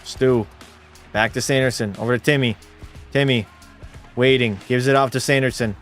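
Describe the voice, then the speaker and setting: A male streamer giving casual play-by-play of a hockey game and answering his chat in a relaxed way. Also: medium pitch, normal speed, soft background music. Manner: relaxed, drops into terse hockey call mode.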